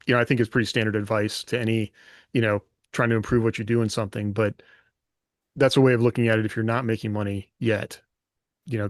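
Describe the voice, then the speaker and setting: A man's voice: fairly low pitch, no room sound, studio quality. A man co-hosting a podcast in a casual, roundabout way, as if thinking aloud. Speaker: podcast co-host and writer.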